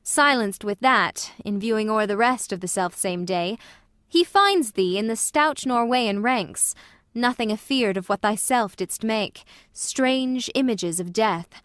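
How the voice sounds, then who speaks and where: A woman's voice: on the high side, animated, measured pace, a bit bright, dry recording, clean studio sound. A woman playing a formal, admiring noble messenger of the king in a dramatic Shakespeare reading.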